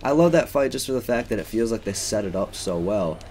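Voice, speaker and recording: Young dude w/ a deep voice rambling over his gameplay, lots of um and like. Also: lively intonation, a little fast, darkish tone, slight background noise. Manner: chatty, rambling, many ums and likes. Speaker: let's play gamer. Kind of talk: casual gameplay commentary.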